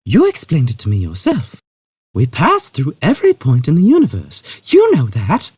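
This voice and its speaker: A clever young woman acted by a man in a sci-fi audiobook, pitched well up. She is patient yet exasperated, speaking in controlled, pointed phrases with a lifting tone. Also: huge pitch swings, moderate pace, darkish tone, breathy edge, dry recording, clean studio sound, exaggerated voice. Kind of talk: audiobook character voice.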